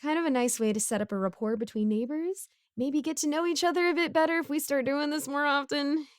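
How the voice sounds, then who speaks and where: A woman's voice: high-pitched, fairly quick, dry recording, studio quality, close to an everyday voice. A woman playing a broke, bumbling, chatty supervillain neighbor in a casual audio roleplay, rambling awkwardly as she pleads and justifies herself. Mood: sheepish, chatty.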